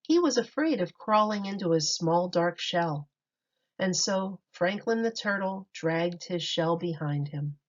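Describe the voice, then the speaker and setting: Lady teacher reading a kids story, slow, medium pitch, slightly muffled recording. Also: lively intonation. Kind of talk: storytime read-aloud for young children. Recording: dull lo-fi recording.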